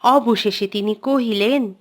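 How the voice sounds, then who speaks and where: A woman's voice: a little high, slow. A woman narrating a scripted children's cartoon tale in an old-fashioned literary storytelling manner.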